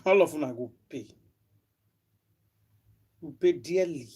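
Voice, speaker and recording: A man with a Nigerian Pidgin accent, loudly scolding and shouting warnings. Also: a little high, a little slow, slightly rough.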